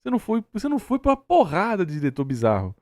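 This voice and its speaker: A man's voice: high voice, wildly swooping pitch, fast, no echo, studio quality. A Brazilian man giving casual, blunt, argumentative reaction commentary and repeating himself for emphasis. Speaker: reaction stream host.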